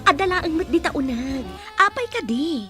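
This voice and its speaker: A woman's voice: high-pitched, sing-song, normal speed, music in the background. A woman with a Filipino accent playing a supportive godmother and family friend in a radio drama. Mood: curious, fond. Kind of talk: Philippine radio drama acting.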